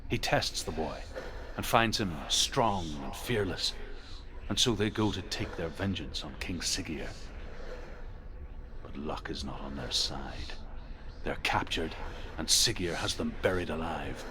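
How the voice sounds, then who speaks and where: A low-voiced grave storyteller of legends. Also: male voice, noisy background. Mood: solemn. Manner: solemn, deliberate, dramatic. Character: grave male storyteller recounting Norse legends. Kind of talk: dramatic scripted storytelling.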